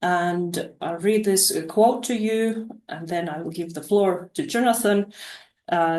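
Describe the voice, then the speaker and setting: A woman presenting study opportunities over a webinar, halting, with many fillers and restarts. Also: mid-range voice, echoey room.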